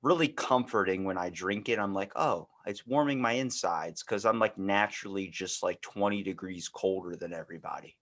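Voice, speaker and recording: A man's voice: low-pitched, normal speed, hardly any pauses, a bit bright. An American man hosting a podcast in casual conversation, telling rambling stories and acting out bits of dialogue as he goes. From United States. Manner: rambling storyteller, acting out quoted exchanges. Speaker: podcast host.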